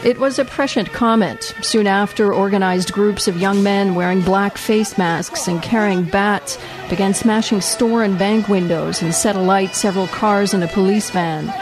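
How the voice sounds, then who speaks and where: A woman's voice: mid-range voice, normal speed, music in the background, crowd noise. A woman radio reporter narrating a scripted news report.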